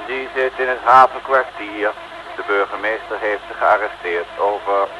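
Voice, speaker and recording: An actor playing a dutiful patrol radio operator over two-way radio in an old Dutch radio serial, clipped and businesslike. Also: male voice, on the low side, steady tone, moderate pace. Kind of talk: 1950s-60s Dutch radio serial acting. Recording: muffled, faintly noisy radio transmission.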